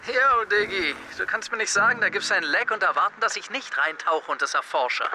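A man's voice: high voice, animated, brisk, pushed and bright, faint music behind, heavily acted. A young man playing a cocky, evasive teenage skater in a German audio drama, with brash slangy talk. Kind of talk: naturalistic audio drama phone dialogue.

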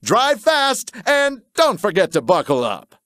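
A man playing a showy TV race-show announcer in a cartoon game, with a theatrical, drawn-out delivery. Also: high voice, huge pitch swings, a bit bright, no echo, clean studio sound, heavily acted. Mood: dramatic.

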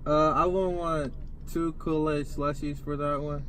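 A man's voice: a little high, steady tone, a little slow, fairly bright tone. A man speaking casually and politely, with some hesitation, as a customer ordering from inside a car. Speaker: prankster customer ordering at drive-thru. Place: inside a parked car at a drive-thru. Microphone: camera behind him in the car. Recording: noisy car interior, vehicle hum.

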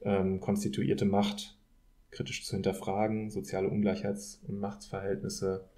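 A man in a thoughtful academic podcast discussion, speaking in a hesitant, measured way as an expert guest. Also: fairly low pitch, monotone, mellow. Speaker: podcast guest, political scientist.

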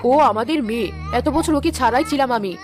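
A woman voicing a gentle, grateful queen. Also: a little high, animated, fast talker, natural voice. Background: background music.

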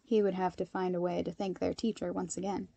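A woman narrator with an expressive storytelling style. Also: fairly low pitch, a little fast, gravelly, no echo, dull audio. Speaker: fan fiction narrator.

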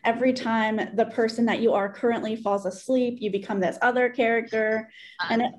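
A woman in her thirties with a fairly high voice talks through a book as a co-host. Her delivery is conversational and loose, peppered with "like," as on an indoor video call. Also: fairly even intonation, a little fast. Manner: conversational, frequent "like". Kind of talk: casual book discussion. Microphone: video call computer mic.

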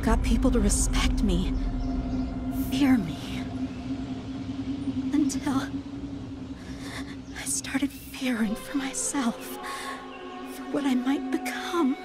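Slow, troubled young champion. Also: female voice, high-pitched. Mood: fearful. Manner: halting, confessional. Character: troubled, insecure young female card champion. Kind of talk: video game voice acting.

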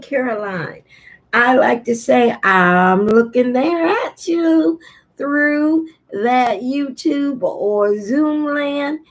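A middle-aged American woman, an early childhood teacher, giving an informal video message to parents and toddlers in a deliberate, halting, sometimes singsong way. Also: a little high. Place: small indoor room at home. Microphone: laptop webcam at arm's length.

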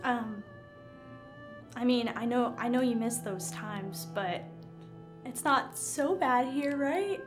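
High-voiced, playful young woman. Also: slow pace, long pauses, over music. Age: young adult. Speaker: player in a tabletop roleplaying game. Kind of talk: casual, playful chat. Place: indoor studio set, seated at a table.

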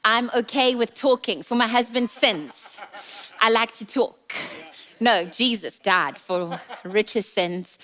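Bright-voiced South African woman preaching. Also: fairly high pitch, takes it slowly. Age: 30s. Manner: chatty, playful, teasing. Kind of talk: sermon. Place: large church auditorium stage.

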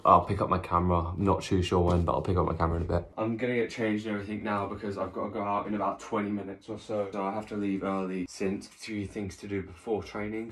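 A seventeen-year-old young man, chatting casually to a handheld phone camera about his day. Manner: relaxed, chatty, low-key. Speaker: vlogger, youth footballer. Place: gym, kitchen, bedroom and car. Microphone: phone held at arm's length.

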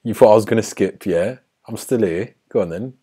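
A man in his twenties from Egypt with a husky voice, joking casually with strangers on video chat as a YouTuber. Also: lowish voice, a little fast, warm tone. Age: 20s. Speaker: YouTuber hosting random video chats. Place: living room at home.

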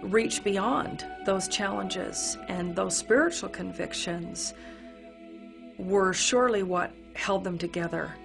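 A woman's voice: mid-range voice, slow pace, creaky. A woman giving reflective interview commentary on history for a documentary. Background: music.

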